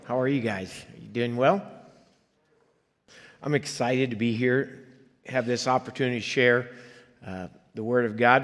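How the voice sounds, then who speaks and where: Male guest preacher raised in Liberia, middle pitch, earnest testimony, long church reverb. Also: a bit bright. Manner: conversational, earnest storytelling with audience asides. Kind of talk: personal testimony in a sermon. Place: church sanctuary.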